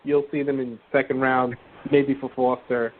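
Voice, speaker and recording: A man's voice: mid-range voice, flat delivery, normal speed. A man co-hosting a casual sports podcast, joking and loose, often trailing off and restarting. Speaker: podcast co-host. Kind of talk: casual sports call-in chat. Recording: tinny phone-like line with faint hiss.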